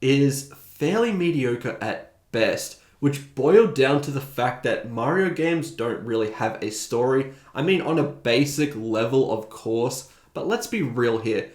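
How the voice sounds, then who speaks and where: A man's voice: medium pitch, animated, a little slow, few pauses, darkish tone. A man hosting a video essay, giving opinionated, conversational commentary. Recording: roomy, echoing voice recording.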